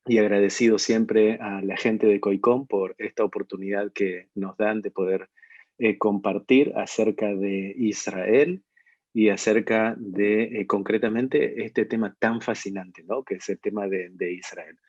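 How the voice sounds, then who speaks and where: A middle-aged Argentine man with a lowish, mellow voice gives a webinar talk, pausing often on fillers and restarting his phrases. His speech comes through a dull, lo-fi video-call line with a dry sound. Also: steady tone, no room sound. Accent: Argentine Spanish. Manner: hesitant, many fillers and restarts. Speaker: ministry leader giving a webinar.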